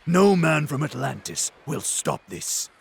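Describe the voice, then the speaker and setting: An actor playing a curt, scheming villain in a game cutscene. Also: male voice, on the high side, big pitch swings, measured pace, creaky, slightly rough, full character voice. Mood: cold.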